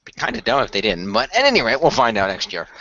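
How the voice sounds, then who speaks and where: A man's voice: mid-range voice, huge pitch swings, fast talker, bright, forceful tone, dry recording, muffled recording. A man hosting and narrating an amateur fan radio play in a casual way.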